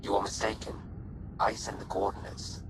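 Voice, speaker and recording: A man voicing a damaged male ship computer that speaks calmly and formally, in a game. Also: lowish voice, moderate pace, lightly acted. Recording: game music behind.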